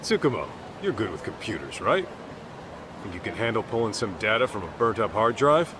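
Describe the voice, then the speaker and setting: An actor playing a brash middle-aged detective in a video game dub, with an American accent and blunt, slangy delivery. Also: male voice, lowish voice, very animated, brisk, background noise. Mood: brisk, easygoing. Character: brash, street-tough middle-aged male detective, gruffly fatherly. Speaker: private detective.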